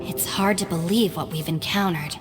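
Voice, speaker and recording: A woman's voice: fairly low pitch, normal speed, natural voice. An actress playing a level-headed, weary young woman who keeps a gang in order, speaking frankly in an anime video game. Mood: confiding. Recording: long reverb, music behind.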